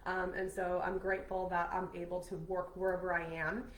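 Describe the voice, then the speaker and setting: A woman's voice: fairly low pitch, monotone, a little fast, echoey room, poor audio. A woman coaching in a casual live video from home. She sounds nasal from a cold and talks in a chatty, upbeat way, full of "um"s and "like"s as she speaks to her viewers. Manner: chatty and upbeat, many "um"s and "like"s, speaks straight to "you guys". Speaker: health and weight-loss coach. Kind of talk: casual live video chat to an online group.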